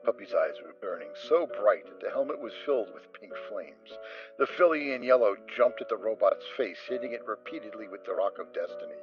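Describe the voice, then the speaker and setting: A man narrating a dramatic reading of a story, steady storytelling with occasional stumbles. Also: fairly low pitch, a little fast. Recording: dull lo-fi amateur mic with soft music.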